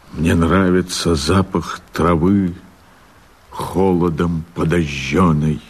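An actor playing an earthy, wild-hearted peasant rebel from the steppe in a radio verse drama, speaking in slow, weighty, poetic declamation with a husky voice. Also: male voice, low voice, exaggerated voice. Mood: lyrical, confiding.

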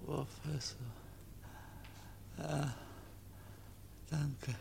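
An actor playing a dying, feverish young man in a radio drama, feeble, breathy and halting, with a forgiving temper. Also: a little high, level tone, full character voice. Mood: weak, grateful. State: dying, exhausted. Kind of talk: German radio drama acting. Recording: noisy old radio drama recording.

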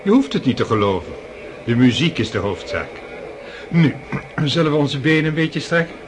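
A man's voice: fairly high pitch, animated, moderate pace, noisy background, close to an everyday voice. An actor playing a genial, fatherly count with a hearty manner. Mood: jovial.

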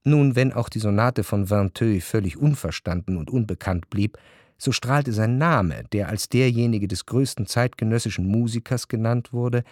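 A man narrating literary prose for a radio drama in measured, deliberate phrases. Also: on the low side, darkish tone, no echo. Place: radio studio. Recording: dry studio with music behind.

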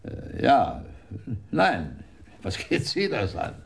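An actor playing a fat, indignant German timber importer with a gruff, defensive manner in a radio play. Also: male voice, on the low side, wildly swooping pitch, takes it slowly, exaggerated voice. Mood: brusque, suspicious.

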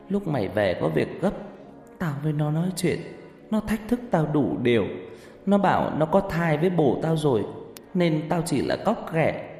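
A man's voice: on the high side, darkish tone, voice pushed up. A man voicing a frightened, guilt-ridden young woman who stammers and pleads. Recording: echoey long reverb, faint music.

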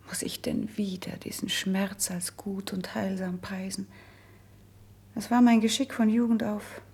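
An actress playing a melancholy, resigned young princess who has been sickly since youth, in a classical verse radio drama, with a breathy, husky, hushed and measured delivery. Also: female voice, mid-range voice, little pitch movement. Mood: pained resignation.

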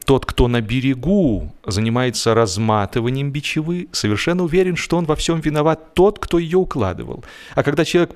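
A man narrating a humorous literary story in an expressive, measured way with deliberate pauses. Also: medium pitch. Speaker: audiobook narrator.

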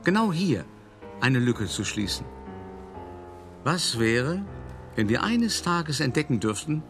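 An actor playing a cultured, self-satisfied male art forger, confessing in a measured, reflective, articulate radio drama monologue. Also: medium pitch, very animated, over music. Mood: quietly scheming. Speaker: first-person narrator.

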